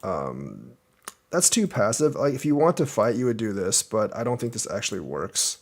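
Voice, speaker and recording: A man's voice: lowish voice, very animated, normal speed, gravelly, breathy edge. A young man teaching a board game, casually reviewing a student's match and thinking aloud as he explains each move step by step.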